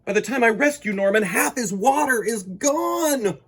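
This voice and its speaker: Animated, slightly husky man reading to kids. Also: extremely high pitch, moderate pace. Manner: animated, emphatic, addresses young listeners directly. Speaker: children's story-time host. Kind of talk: expressive read-aloud of a picture book for children.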